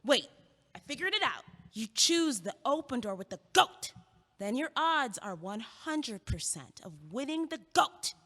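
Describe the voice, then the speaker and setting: Actress as an animated, bright-voiced young student. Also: female voice, sits high, unhurried, full character voice. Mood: excited, insistent. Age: young adult. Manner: emphatic, argumentative. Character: young female student, earnest, stubbornly logical, feisty. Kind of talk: audio play acting. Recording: echoey hall with long reverb.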